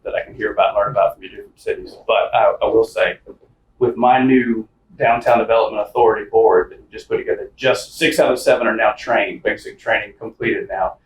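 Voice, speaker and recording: A man hosting a casual interview podcast, chatty and folksy with many fillers and restarts. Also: fairly low pitch. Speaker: podcast host and small-town mayor. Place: office conference room. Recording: roomy, distant mic with faint noise.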